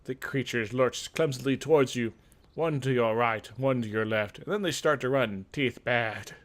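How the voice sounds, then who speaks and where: A man's voice: medium pitch, normal speed. A man hosting a gaming video, reading a fantasy game aloud theatrically and dropping into casual asides. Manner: theatrical storytelling broken by offhand asides. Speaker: gaming video host and narrator.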